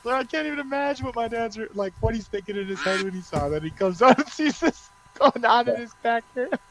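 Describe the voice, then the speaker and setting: A man hosting a hobby livestream, chatting casually in an excitable, rambling way. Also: very high voice, a little fast, a crowd in the background, poor audio. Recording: steady background noise.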